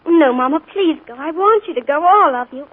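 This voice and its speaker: A woman playing an earnest young woman in a 1940s radio drama, speaking quietly and tensely but firmly when she stands her ground. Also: high-pitched, expressive, brisk. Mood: shaken. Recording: narrow 1940s radio broadcast.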